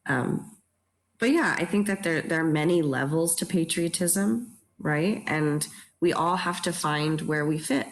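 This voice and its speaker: Low-voiced woman, reflective and emphatic. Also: unhurried. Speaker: theatre director in a panel talk. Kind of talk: earnest panel discussion.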